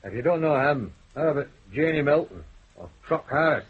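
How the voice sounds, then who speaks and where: An actor playing a dry, teasing police detective in a radio drama. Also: male voice, fairly high pitch, expressive, a little fast, slightly rough. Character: wry older male Scottish police detective. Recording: muffled old radio broadcast.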